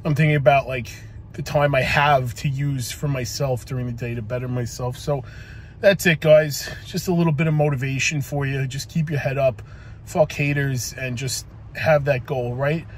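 A man's voice: mid-range voice, fairly even intonation, moderate pace, a little hiss or hum. A man in his forties, a police officer, giving a casual motivational talk into a phone camera in his parked car, rambling with many ums and likes and casual swearing. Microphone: phone camera on the dashboard.

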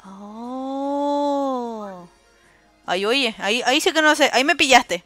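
Chilean woman streamer, bright and crisp, slangy and giggly, dry close mic with faint music. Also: high-pitched, lively intonation, slow, no echo. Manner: chatty, laughs often, slangy. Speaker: streamer host. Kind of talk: casual voice-chat talk.

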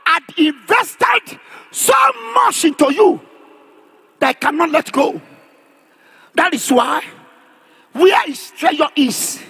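High-pitched, emphatic male preacher. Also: breathy edge. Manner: emphatic, declamatory, often shouting; repeats phrases for emphasis. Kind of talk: charismatic sermon with call and response.

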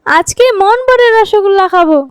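A woman voicing a spiteful, haughty female villain in an animated fairy tale. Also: very high voice, normal speed, dry recording, studio quality. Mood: eager, smug. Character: vengeful, imperious fairy-tale queen, later a spiteful greedy girl.

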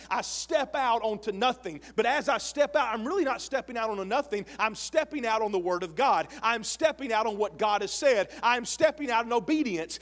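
High-voiced pastor preaching in a reverberant church. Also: male voice. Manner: animated, emphatic preaching, rising to shouts. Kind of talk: sermon. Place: large church sanctuary stage with audience. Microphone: handheld mic. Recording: long hall reverb.

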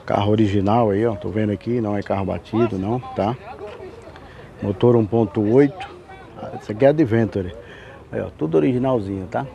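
A man from Brazil giving a folksy, chatty sales pitch while filming cars at an outdoor car fair on a handheld camera. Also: brisk, creaky, mellow, lo-fi sound. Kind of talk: walkaround sales vlog. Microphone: handheld camera mic, filming.

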